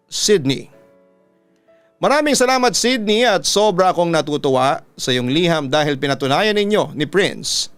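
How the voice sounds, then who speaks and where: A Filipino man reading listener letters as a radio storytelling host, with a smooth, clear voice. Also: fairly high pitch, a bit bright. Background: instrumental music.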